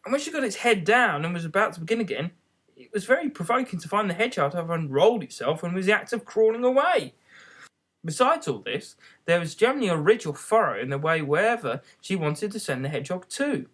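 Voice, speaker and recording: An audiobook reader narrating a children's story in an expressive style. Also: medium pitch, quick pace.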